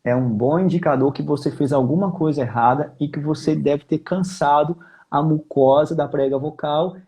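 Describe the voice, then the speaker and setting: A man's voice: fairly high pitch, moderate pace, warm tone. A Brazilian man explaining technique as a guest singing teacher, informal and emphatic, repeating his key points.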